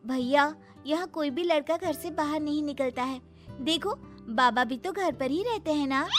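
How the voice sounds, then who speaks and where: A woman's voice: sits high, normal speed, soft background music, lightly acted. A woman voicing a bold, carefree young girl in a cartoon story, in a childlike manner. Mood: coaxing. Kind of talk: Hindi cartoon story acting.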